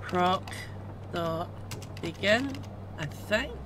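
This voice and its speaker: A woman's voice: on the low side, animated, drawn-out words, noisy background. A woman streaming a game live, muttering commands aloud and swearing at it. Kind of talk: live gameplay commentary.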